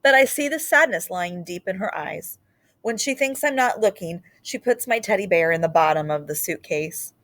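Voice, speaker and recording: A woman storyteller reading a picture book aloud expressively, in short deliberate phrases with dramatic pauses and the odd aside. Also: lowish voice, expressive, a bit bright.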